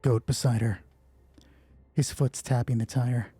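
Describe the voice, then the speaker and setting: Slow, dark-voiced man narrating a game. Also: medium pitch, little pitch movement, husky, no room sound, faint music behind. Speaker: solo roleplay host and game master. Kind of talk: dramatic narration of a tabletop game.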